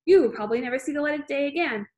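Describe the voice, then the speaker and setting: A woman's voice: a little high, normal speed, a bit bright, natural voice. A woman voicing a furious, bullying headmistress who roars and rants her threats while reading a storybook aloud. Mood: raging. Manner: roaring, ranting threats.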